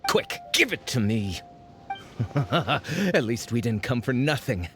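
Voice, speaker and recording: A man's voice: mid-range voice, sing-song, slow, a bit bright, exaggerated voice. A man voicing a greedy, pushy treasure hunter with sly laughs, in a video game cutscene with game music behind.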